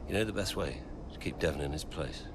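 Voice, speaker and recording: A man playing an encouraging team colleague who talks in a quiet, frank, confiding way. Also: a bass voice, lively intonation, fast talker, background noise.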